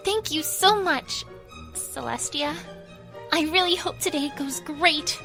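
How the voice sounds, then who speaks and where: A woman voicing a sweet, nervously hopeful young pony princess with a gentle, polite manner in a fan fiction reading. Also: high voice, slow, faint music behind, heavily acted. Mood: grateful, eager.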